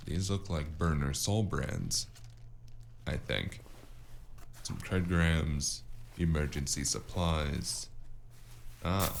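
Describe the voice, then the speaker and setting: Man acting a deep gravelly noir investigator. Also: a little hiss or hum, exaggerated voice. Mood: wry. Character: wry noir investigator with magic and a metal hand, narrating. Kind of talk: first-person noir audio drama narration and dialogue. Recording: music behind the voice.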